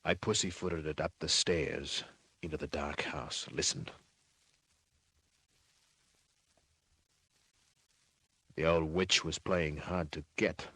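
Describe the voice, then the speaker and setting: Hardboiled male private eye, Australian, deep and husky, terse wisecracking narration for vintage radio crime serial. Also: steady tone, measured pace, pushed and bright, no echo, exaggerated voice. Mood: tense, dry. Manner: terse, clipped, slangy delivery. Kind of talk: old-time radio crime drama, first-person narration.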